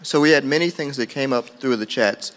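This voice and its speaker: A man's voice: medium pitch, brisk, fairly bright tone, poor audio. A man reporting back at a roundtable, informally summarizing discussion points.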